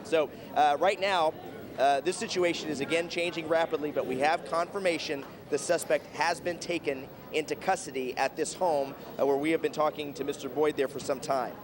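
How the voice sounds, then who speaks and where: An American man with a slightly high voice delivers live breaking news as a field reporter. He is outdoors, with clear sounds of people and vehicles behind him. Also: fairly even intonation, brisk, a crowd in the background.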